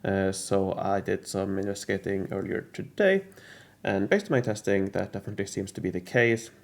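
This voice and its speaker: A man explaining a game strategy in a casual, methodical walkthrough, his voice a bit rough from a cold, pausing often with uh. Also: low-pitched. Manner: methodical, hesitant with frequent uh fillers. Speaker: strategy game guide creator.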